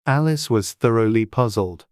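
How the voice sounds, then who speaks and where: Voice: medium pitch, animated, normal speed, dry recording, clean studio sound. A narrator reading a children's classic as a scripted storybook audiobook.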